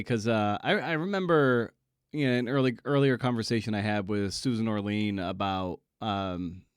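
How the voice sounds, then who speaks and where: Halting male podcast host, very dry sound. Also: medium pitch, dry recording. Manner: halting, rambling, circling around his point. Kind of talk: casual interview chat.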